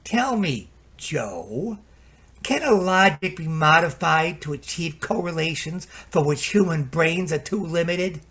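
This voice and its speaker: A man playing a harried, excitable computer repairman in a radio play, halting and exclamatory, with folksy turns of phrase. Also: a little high, breathy edge, full character voice. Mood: probing, insistent.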